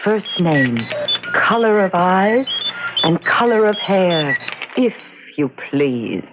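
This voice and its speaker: Lively, theatrical daughter in old radio drama. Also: female voice, on the low side, slow. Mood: grandly theatrical. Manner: theatrical, earnest. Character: lively grown daughter playing theatrical fortune teller. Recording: muffled, noisy old radio recording.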